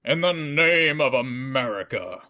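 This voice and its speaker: A man playing a slow-witted, pompous, trigger-happy soldier with a deliberate manner in a comedic audio drama. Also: mid-range voice, expressive, pushed and bright. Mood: eager.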